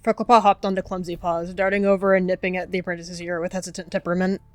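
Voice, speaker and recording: A woman's voice: fairly low pitch, flat delivery, fast, a little mellow, dry recording, soft background music. A woman narrating characters' actions in a descriptive roleplay game.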